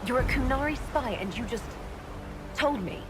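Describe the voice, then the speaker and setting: A woman voicing a composed, direct elf mage who leads an order in a video game, speaking firmly and with measured care. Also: high-pitched, animated, background noise. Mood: composed, probing. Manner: measured, questioning, firm.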